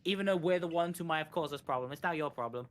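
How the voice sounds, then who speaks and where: A man's voice: a little high, quick pace. A man giving live, explanatory gameplay commentary on a speedrun, often repeating and rephrasing as he goes. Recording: music behind the voice. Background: game music.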